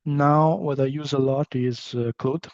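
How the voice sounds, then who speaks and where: A man's voice: medium pitch, steady tone, unhurried, a little mellow, no room sound, highs cut off. A man with an Italian accent, a non-native English speaker, giving a training session with many fillers and restarts. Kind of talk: online training presentation.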